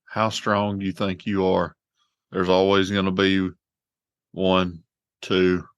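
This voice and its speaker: Deep-voiced plainspoken male podcast host. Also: flat delivery, slow pace, clean tone, no echo, dull audio. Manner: plainspoken and earnest, speaks of faith. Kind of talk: casual conversation.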